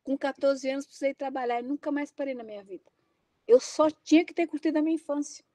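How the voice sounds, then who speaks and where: A middle-aged woman with a Brazilian Portuguese accent delivers an impassioned talk, her voice high and bright. She exclaims and presses each point with open indignation. The sound is dry and close. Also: fast talker, no room sound. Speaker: invited lecturer.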